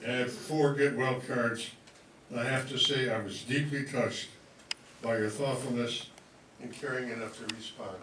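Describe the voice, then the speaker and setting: An older man with a medium-pitched voice gives an informal speech in short, halting phrases with a dry wit. He sounds some distance from the recorder, in an echoey room with faint noise. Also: fairly even intonation. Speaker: guest speaker, amateur writer and actor. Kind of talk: informal thank-you speech. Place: room before a live audience.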